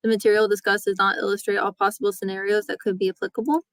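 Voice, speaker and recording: A woman facilitating a webinar, reading a scripted introduction in a steady, procedural way. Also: mid-range voice, rapid-fire, dry recording, clean studio sound. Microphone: webinar microphone.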